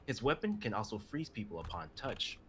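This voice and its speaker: A man's voice: on the low side, big pitch swings, fairly quick, fairly bright tone, slight background noise. A young man on a live stream, reading his own dramatic script and chatting casually about it. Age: young adult.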